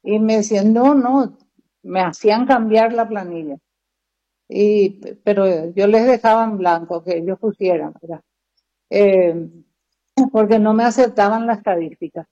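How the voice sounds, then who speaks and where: A woman teacher from Paraguay, explaining things in a measured way in a casual conversation. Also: medium pitch, dark tone. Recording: dull lo-fi sound.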